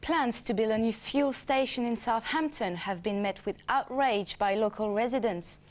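A woman's voice: fairly high pitch, normal speed. A woman presenting a scripted news bulletin.

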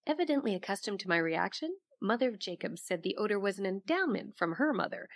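A woman playing an inquisitive investigative reporter, recounting her case as measured scripted audio drama narration. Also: medium pitch, sing-song, no room sound, studio quality. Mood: measured, intrigued. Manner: measured, storytelling.

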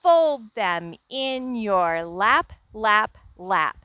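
A woman's voice: medium pitch, big pitch swings, very slow, pushed and bright, dry recording. A middle-aged woman hosting storytime outdoors in a garden, talking to young children in a singsong manner. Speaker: children's librarian, storytime host.

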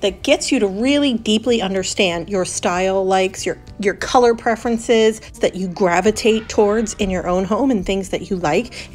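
A woman in her thirties chatting through a voice-over for her home cleaning vlog, relaxed and friendly, with the odd small laugh. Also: medium pitch. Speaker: homemaking vlogger.

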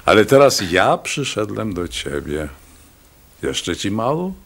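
An actor playing a haughty, menacing town doctor in a radio play, speaking in a condescending, ominous way. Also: male voice, lowish voice, very animated, slow, creaky, full character voice. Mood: condescending, threatening.

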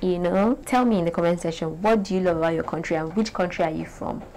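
A young woman from Nigeria with a Nigerian accent, talking to the camera in a casual, animated vlog from a small bright room. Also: fairly low pitch, quick pace. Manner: animated, chatty, gestures a lot. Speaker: beauty vlogger.

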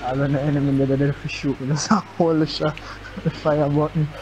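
A man's voice: fairly high pitch, flat delivery, normal speed, noisy background. A man streaming a fighting game, chatting casually with a friend, laughing and swearing in slangy banter. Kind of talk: casual banter while gaming. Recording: game music loud behind.

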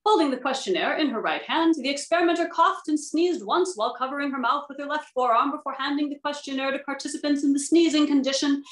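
A woman's voice: high-pitched, fast talker, hardly any pauses. A woman speaking formally as a reader of research papers, on roomy video-call audio. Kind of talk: formal reading aloud and panel questions. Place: indoor room on a video call, using a tablet.

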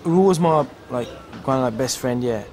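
A man's voice: medium pitch, expressive, moderate pace. Young man recalling, music behind. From English Midlands. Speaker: documentary interviewee. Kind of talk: informal first-person recollection.

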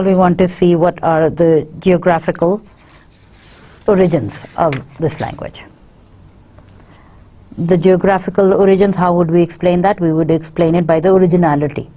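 A middle-aged Pakistani woman teaches a recorded university class from a studio set, with a low, mellow and warm voice. She explains points slowly in English, then rushes through quick asides in Urdu. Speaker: university lecturer. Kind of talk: recorded video lecture. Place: studio set with dark backdrop. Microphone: fixed camera, seated mid shot.

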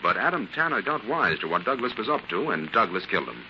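Casting: assured 1940s radio detective, low and forceful, faintly noisy vintage sound. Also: male voice, very animated, fast talker. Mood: assured, explaining. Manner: authoritative, explanatory. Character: assured male scientist detective explaining a solved case. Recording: dull, hissy 1940s radio recording.

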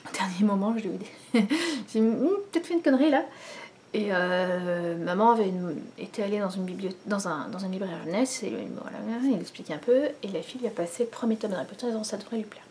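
A woman's voice: medium pitch, quick pace, darkish tone. A woman in her thirties chats to a camera in her living room, rambling along with plenty of fillers and restarts. Her voice sits in a middle range for a woman. A faint hum of the room sits behind her. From France. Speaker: knitting vlogger. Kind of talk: casual chat to camera. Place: living room at home, indoors. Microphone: camera in front, head and shoulders.

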